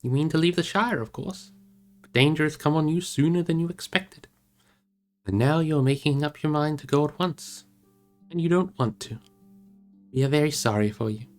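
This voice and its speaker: A man plays an inquisitive young male hobbit in a storybook. He is practical and brisk, speaking directly and probing for answers, a touch higher than the narration, over music. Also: lightly acted. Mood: gentle, knowing.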